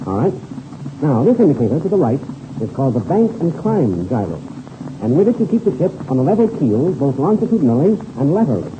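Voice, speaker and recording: An actor playing a confident, hearty veteran pilot mentor in a 1930s radio serial, brisk, instructive and self-assured. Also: male voice, on the high side, big pitch swings. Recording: dull, very noisy old radio recording.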